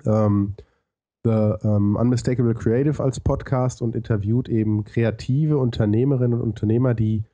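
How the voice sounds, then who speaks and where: A man's voice: mid-range voice, steady tone, moderate pace, warm tone, dry recording, highs cut off. A man with a lisp telling his story as a podcast interview guest, explaining point by point with frequent hesitations.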